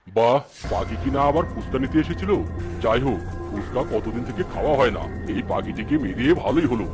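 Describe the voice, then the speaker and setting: A man's voice: medium pitch, lively intonation, brisk, pitched below the performer's own voice, lightly acted. A man voicing a callous, greedy hunter in a cartoon, with music behind. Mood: gloating.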